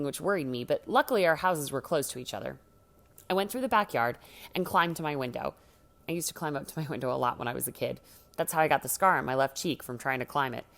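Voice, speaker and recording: A woman reading a first-person teenage story aloud from a script, with dramatic, expressive delivery. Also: low voice. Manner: dramatic, acts out the dialogue. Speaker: story reader.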